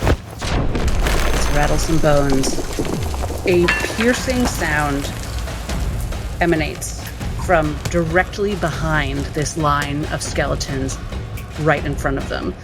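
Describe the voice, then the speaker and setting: A woman's voice: sits low, slow, long pauses, music in the background. A woman playing a tabletop role-playing game, narrating what her character does.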